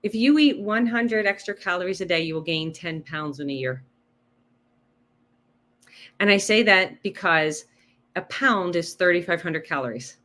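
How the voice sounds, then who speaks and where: Lively woman coaching with quick rhetorical questions. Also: fairly low pitch. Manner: animated, explains with numbers and rhetorical questions. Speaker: health coach guest. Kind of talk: casual interview chat.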